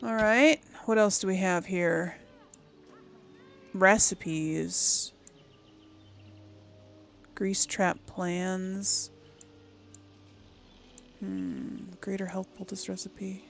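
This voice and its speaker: A woman's voice: medium pitch, fairly even intonation, extremely slow, gravelly, long pauses, faint music behind. A woman giving casual gameplay commentary, thinking aloud and murmuring to herself as she reads things out. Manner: murmurs to self, reads item text aloud. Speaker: gaming video host.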